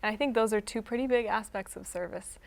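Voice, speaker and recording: A woman speaks as a guest in an interview, friendly and practical, with frequent ums. Her voice has a noticeable gravelly fry, and her pitch is mid-range. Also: fast. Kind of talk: casual interview talk.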